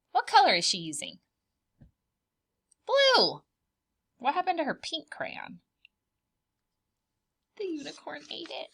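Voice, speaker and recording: A woman reading a picture book aloud for preschoolers, expressive and dramatic, pausing to put questions to the children. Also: a little high. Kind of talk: animated children's storybook read-aloud with asides.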